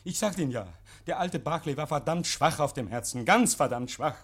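A man's voice: a little high, animated, brisk, fairly bright tone, breathy edge, exaggerated voice. An actor in a 1950s radio play playing a nervous, paranoid man hiding guilt, agitated and stammering. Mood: flustered, insistent. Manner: agitated, stammering, insistent, hears imaginary noises. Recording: vintage 1950s radio recording.

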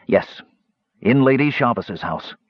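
A man's voice: mid-range voice, very animated, normal speed, breathy edge, no echo, lo-fi sound, voice pushed up. Man voicing a cold, bitter, frail male mage. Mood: weary, grave. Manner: cold, sarcastic, demanding.

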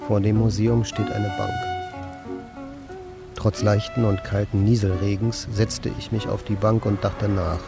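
An actor playing an aimless, brooding eccentric in a German radio play, musing and questioning in a deadpan manner. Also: male voice, on the low side, a little slow, gravelly, over music. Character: aimless, brooding man, eccentric idler and narrator. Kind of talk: German radio play, dialogue and inner monologue.

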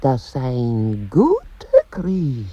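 Solemn orator, super slow, very dark husky voice, declaiming maxims, muffled radio sound. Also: medium pitch, wildly swooping pitch, full character voice. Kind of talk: radio play recitation.

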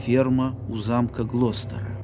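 A man's voice: medium pitch, flat delivery, a little slow, loud music behind the voice. A man reading scripted scene announcements as narrator of an audio drama.

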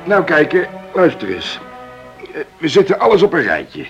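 A man's voice: on the high side, wildly swooping pitch, moderate pace, vocal fry, faint music behind, heavily acted. A man acting in a Dutch radio drama as a cautious, conciliatory countryman who speaks hesitantly, on a lo-fi recording. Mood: earnest. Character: cautious, amiable countryman negotiating for his partners.

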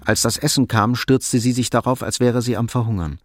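Male radio drama narrator, medium pitch. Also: steady tone, fairly quick.

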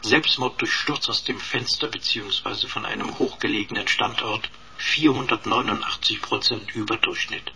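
An actor in a radio drama playing a cheeky computer sidekick, speaking in a pompous, wordy, mock-deferential manner. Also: male voice, on the low side, normal speed, creaky, noisy background. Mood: matter-of-fact.

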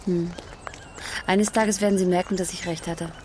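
A woman's voice: lowish voice, steady tone, moderate pace, dark tone, slight background noise, close to an everyday voice. Prim, piqued young woman played by an actress. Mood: piqued, cool. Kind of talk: radio drama acting.

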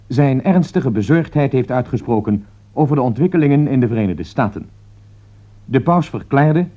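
A man playing a serious radio newsreader in a radio drama, delivering urgent bulletins in a formal, measured manner. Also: mid-range voice, lightly acted. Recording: dull lo-fi old radio recording.

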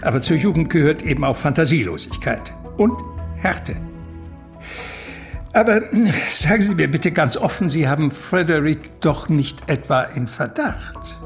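A man's voice: fairly high pitch, expressive, measured pace, faint music behind. An actor playing an urbane, talkative and evasive male confidant in a radio drama, with mannered, eloquent phrasing. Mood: flustered, emphatic. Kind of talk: German radio drama acting.

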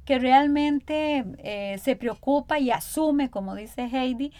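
Higher-voiced Costa Rican woman, dry sound. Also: slow pace, dark tone, no echo. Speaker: radio program host. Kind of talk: conversational radio interview.

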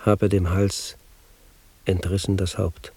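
An actor playing a solemn man who recites an ancient mythic tale in verse, in a grave, declamatory, husky manner for a radio drama. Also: low-pitched, flat delivery, measured pace, warm tone, no room sound, full character voice.

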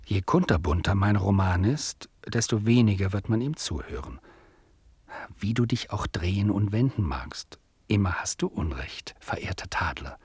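Author-narrator: low, slightly breathy, ironic, provoking, close dry studio sound. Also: male voice, darkish tone, no room sound. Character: wry, teasing male author-narrator sparring with his listener. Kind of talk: literary radio play narration.

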